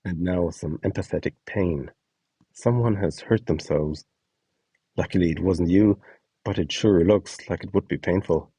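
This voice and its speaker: A man's voice: low-pitched, measured pace, mellow, breathy edge, dry recording. A man reading scripted instructions and short scene prompts as the narrator of a guide.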